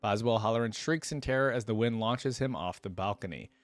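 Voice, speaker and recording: Low-voiced man reading and reacting. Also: a little fast, dry recording. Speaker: reaction video host. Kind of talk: reading a story aloud with casual reaction asides.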